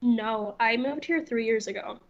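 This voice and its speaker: A woman's voice: fairly high pitch, a little slow. A young woman from Puerto Rico whose first language is Spanish, a guest art student chatting casually with lots of "like" and "right?". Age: young adult. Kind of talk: casual podcast chat. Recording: slightly dull lo-fi sound.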